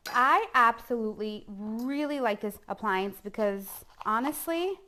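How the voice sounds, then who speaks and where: A woman's voice: a little high, a little slow, bright, forceful tone. A middle-aged woman from Puerto Rico chatting informally as a chef on a live cooking show, often checking in with viewers. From San Juan, Puerto Rico. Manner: chatty, informal, addresses viewers directly with frequent "okay?" tags. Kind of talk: casual live-streamed cooking demo chat.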